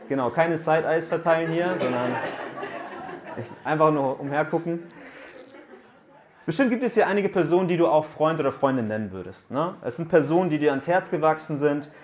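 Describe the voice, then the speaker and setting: A man's voice: on the high side, animated, a little fast. Man, 30s, youth camp preacher, casual and joking, talks to a live room. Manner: casual, joking, asks the audience questions. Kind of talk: sermon talk to young people.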